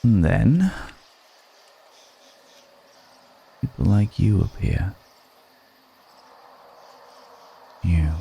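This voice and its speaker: A man playing an ancient, world-weary, seductive vampire in an intimate ASMR roleplay, speaking in a deliberate, brooding way with long pauses. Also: lowish voice, very animated, creaky, mellow, breathy edge, exaggerated voice. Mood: seductive, intrigued.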